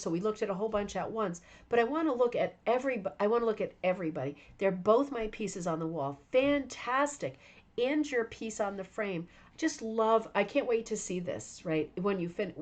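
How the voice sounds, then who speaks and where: A woman hosting a rug hooking club stream, tired and losing her voice, talking enthusiastically and rambling while chatting with viewers by name. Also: mid-range voice. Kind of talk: live stream show-and-tell chat.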